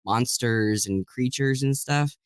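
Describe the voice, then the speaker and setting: A man's voice: mid-range voice, slow, clean tone, no room sound. Enthusiastic man chatting casually, dry close sound. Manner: enthusiastic, chuckles while talking. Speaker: guest voice actor and audiobook narrator. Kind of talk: casual interview chat.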